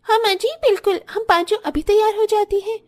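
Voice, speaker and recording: A woman's voice: very high voice, steady tone, brisk, darkish tone. A woman voicing a young, devoted daughter-in-law in a moral story, polite, eager to help and reassuring. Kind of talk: scripted Hindi moral story voice acting.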